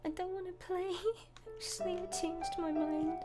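A woman's voice: very high-pitched, little pitch movement, unhurried. A woman giving casual, nervous and hesitant commentary while she plays a horror game, often muttering. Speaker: gamer and voice actress. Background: game music.

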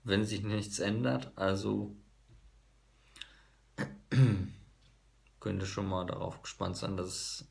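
Hoarse man, 30s, slow deep voice, casual vlog, halting. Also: fairly even intonation, darkish tone. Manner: halting, many fillers and restarts. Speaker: vlogger. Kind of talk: casual vlog talk to camera. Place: small bedroom office indoors. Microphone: phone camera at arm's length.

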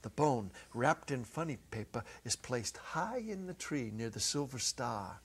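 A middle-aged man narrating a short story as a dramatic reading, theatrical, with deliberate pauses and shifts in intensity. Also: medium pitch, breathy edge. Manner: theatrical, varying from hushed to emphatic, with deliberate pauses. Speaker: narrator, reader. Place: indoor stage set, seated at a music stand. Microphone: clip-on lapel mic.

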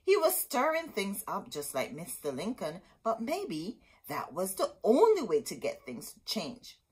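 A woman's voice: medium pitch, huge pitch swings, unhurried, a little mellow, breathy edge, poor audio. A middle-aged woman reading a children's picture book aloud in a dramatic, emphatic storytelling manner. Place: indoor room with bookshelves.

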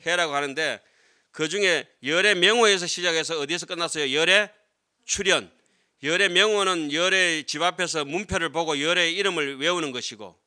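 A middle-aged man, a Buddhist monk, lecturing on scripture to a class in a hall and speaking into a desk microphone. He is animated and keeps asking the students questions, then answering them himself. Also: fairly high pitch. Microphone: desk microphone on stand.

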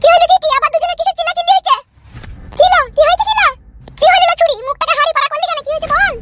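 A woman voicing an exasperated, meddling older village mother-in-law in a cartoon comedy, scolding in a pitched-up voice. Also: racing, very noisy. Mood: inquisitive scolding. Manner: scolding, repetitive.

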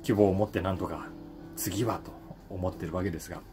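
A man in his forties chatting casually and reflectively to the camera, pausing to think as he goes. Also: deep voice, fairly even intonation, music in the background. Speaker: voice actor and stage actor, channel host. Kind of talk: casual solo talk to camera, daily column.

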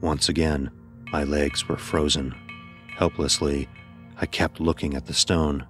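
Very deep-voiced male horror narrator. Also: steady tone, a bit bright, soft background music. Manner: grave, deliberate storytelling. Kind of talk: scripted first-person horror narration.

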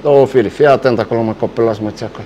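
A man's voice: mid-range voice, a little hiss or hum. A middle-aged man reporting from inside a delicatessen, joking and teasing as he questions the staff. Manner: jokey, teasing, animated. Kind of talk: lively walk-through interview in a shop. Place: small delicatessen shop indoors.

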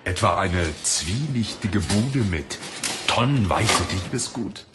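Man acting sardonic male adventurer, very deep, drawling slowly, loud noise, audio drama. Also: lively intonation, full character voice. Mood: mocking. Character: dry, mocking male adventurer.

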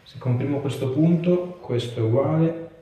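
A man's voice: a little high, moderate pace, warm tone. A man in his thirties, a chiropractor, talking a patient through an examination with short questions and instructions. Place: small indoor treatment room. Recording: echoey room, distant microphone.

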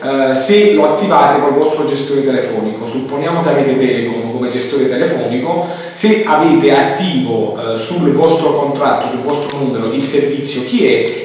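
A man's voice: medium pitch, darkish tone. Echoey, distant male product demonstrator. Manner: hesitant, repetitive explaining with frequent verbal tics. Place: indoor room, demonstrating at a tabletop. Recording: echoey room, distant mic, faint noise.

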